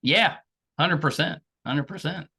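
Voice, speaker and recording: A man's voice: fairly high pitch, unhurried, gravelly, sharp tone, breathy edge. An older American man hosting a casual, chatty interview in an encouraging, chummy way. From American South. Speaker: podcast host and interviewer.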